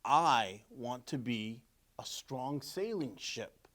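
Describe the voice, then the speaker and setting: A man's voice: mid-range voice, animated, takes it slowly, a bit bright, voice pushed down. A man voicing a bold young male tree in a storybook, earnest and boastful about becoming a mighty ship. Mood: bold, determined.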